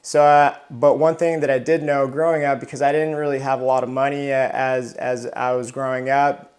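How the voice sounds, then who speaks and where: A man's voice: medium pitch, flat delivery, normal speed, a little mellow. A man in his thirties from Southern California, a retired Marine telling his life story in a casual interview, often restarting and repeating words.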